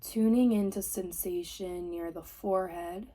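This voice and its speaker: A woman leading a guided meditation as a teacher, giving gentle, soothing, unhurried instructions. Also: lowish voice, steady tone. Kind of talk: guided body scan meditation.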